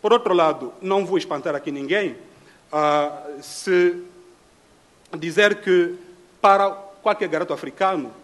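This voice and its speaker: A middle-aged man from Congo speaks at a panel table in a dim hall. His voice sits a little high for a man. He talks in a measured, reflective way, pausing often to find his words. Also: a bit bright. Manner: measured, with frequent hesitations. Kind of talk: formal reflective talk.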